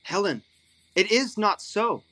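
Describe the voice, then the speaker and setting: An actor playing an ardent young lover with a cutting streak in a Shakespearean audio drama, declaiming verse earnestly. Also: male voice, sits high, animated, slow pace, pushed and bright, full character voice, low-quality recording.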